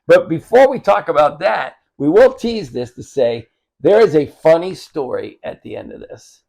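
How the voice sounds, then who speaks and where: A man hosts a relaxed chat in a dark, mellow voice. He keeps the talk moving and sums up what his guest says, with plenty of "uh" and "and" in between. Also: fairly high pitch, moderate pace, vocal fry. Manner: guides the talk, summarizing, with frequent fillers. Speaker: host. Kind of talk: casual conversation.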